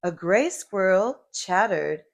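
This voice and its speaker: Slow, animated woman reading to small children. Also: medium pitch, gravelly. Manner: deliberate, animated storytelling. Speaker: children's read-aloud host. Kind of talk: expressive picture-book read-aloud for preschoolers.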